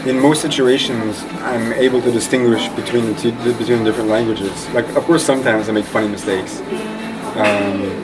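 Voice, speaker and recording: A young man from Eastern Canada chatting casually in an interview, relaxed and rambling with lots of fillers. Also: medium pitch, normal speed, over music, low-quality recording. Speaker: interview guest. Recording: loud crowd noise behind.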